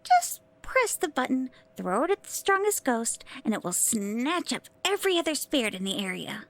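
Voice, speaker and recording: High-pitched, lively, sassy pixie sidekick. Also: female voice, moderate pace. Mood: sassy, teasing. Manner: sassy, teasing. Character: smart, fast, sassy female tech pixie sidekick. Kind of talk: scripted audio drama acting.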